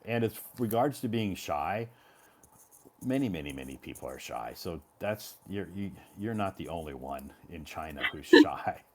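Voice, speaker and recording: Man, American accent, low pitch, English teacher, conversational, encouraging, repetitive for emphasis. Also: lively intonation, normal speed. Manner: encouraging, repeats points for emphasis. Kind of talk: conversational advice to a learner.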